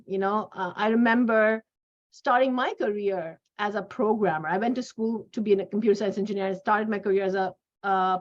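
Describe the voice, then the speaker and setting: A middle-aged woman hosting a conversation, sharing career advice in a halting way and repeating phrases for emphasis. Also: medium pitch. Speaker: host. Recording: dull lo-fi audio.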